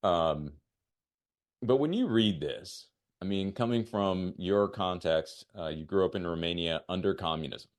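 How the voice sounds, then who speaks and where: A man's voice: low-pitched, unhurried. An American man hosting a podcast in a conversational style. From United States. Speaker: podcast host.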